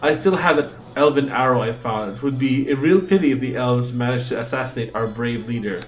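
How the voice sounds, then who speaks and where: Man, native Cebuano speaker, let's play commentary, chatty and jokey, soft game music behind. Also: mid-range voice, a little fast, poor audio. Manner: chatty, jokey, reads game text aloud. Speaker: streamer.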